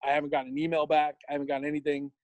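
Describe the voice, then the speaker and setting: A man in his forties with a New York accent talks loosely and casually, full of fillers and swearing. His voice sits a little high. The sound is dry and close. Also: monotone, very fast, no room sound. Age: 40s. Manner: rambling, lots of fillers, swears freely. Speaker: guest, tattoo shop owner. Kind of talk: casual podcast chat.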